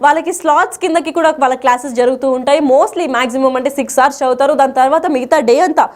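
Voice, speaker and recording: A woman's voice: high voice, fast. A woman explaining the news as a presenter, in a wordy, partly unscripted way with English terms mixed in.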